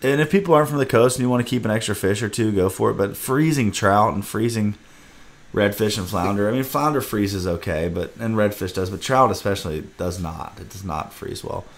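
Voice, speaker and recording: A young man, hosting a casual podcast as a fishing guide, talking in a relaxed, rambling way over a video call from an indoor room. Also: fairly low pitch, fairly quick. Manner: relaxed, rambling, talks through restarts.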